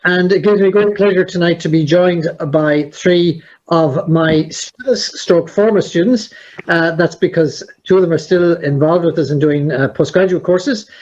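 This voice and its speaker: Measured man hosting, lo-fi. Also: a little high, darkish tone. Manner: measured, hesitant with fillers. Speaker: host. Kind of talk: hosted conversation. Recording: dull lo-fi sound.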